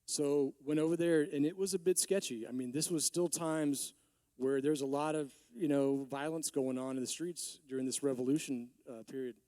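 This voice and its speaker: A man in his forties from Texas, a business owner giving a rambling conference talk with many restarts, his voice a little hoarse from allergies. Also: fairly high pitch, normal speed, warm tone, no echo. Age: 40s. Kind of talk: conference speech.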